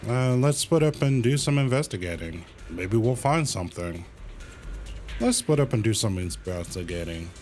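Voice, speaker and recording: A man's voice: lowish voice, expressive, moderate pace, a little mellow. A man doing casual livestream commentary as he plays a video game, with music behind him.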